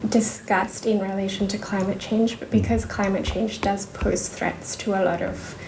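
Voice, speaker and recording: Woman from Indonesia, researcher guest, gravelly edge, halting and thoughtful, noisy echoey room. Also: medium pitch, fairly even intonation. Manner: thoughtful, many fillers and restarts. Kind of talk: casual interview talk.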